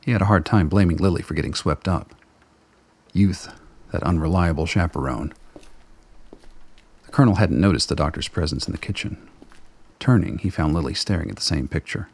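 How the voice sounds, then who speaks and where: A man narrating a scripted radio drama in a low, gravelly, husky voice, measured and brooding. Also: a little mellow.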